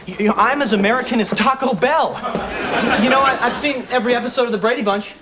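A man's voice: very high voice, quick pace, a loud crowd, heavy background noise. An actor playing a flustered young man who stammers and pleads, in a 1990s sitcom. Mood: pleading. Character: flustered young man dropping a fake accent.

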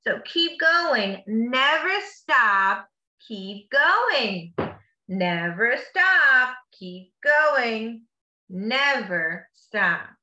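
A woman's voice: on the high side, big pitch swings, very slow, bright, forceful tone, lo-fi sound. A woman reading a picture book aloud to children like a teacher, with encouraging, simply worded asides in between. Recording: echoey room.

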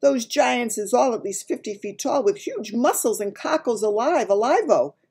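Storybook giant, played by a woman: runty, gentle male, raised pitch, animated, muddled grammar and nonsense words. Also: normal speed, slightly rough. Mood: boastful yet humble. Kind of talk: dramatised children's storybook reading.

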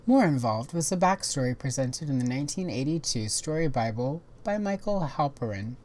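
A woman hosting a toy history video, giving scripted documentary narration in a measured, informative way. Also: very deep voice, expressive, darkish tone.

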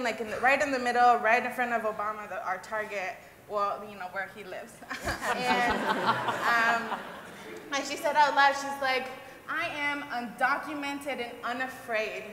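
A woman with a bright, fairly high voice tells a personal story on a conference panel. She talks casually and drops in many fillers. The sound is echoey, with a long hall reverb. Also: a little hiss or hum. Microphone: lapel mic.

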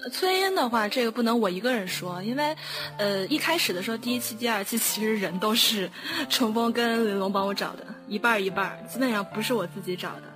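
A woman's voice: a little high, fast talker. A woman chatting casually, rambling a little and correcting herself as she goes. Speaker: audio drama producer. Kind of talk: casual chat. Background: background music.

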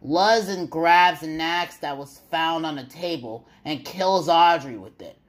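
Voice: mid-range voice, unhurried. A narrator reading a scripted story aloud, stumbling now and then over the words.

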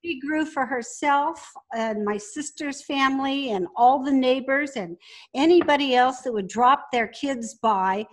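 A woman's voice: high voice, unhurried. An older woman speaking conversationally as an interview guest, recalling and explaining. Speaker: podcast guest, business founder.

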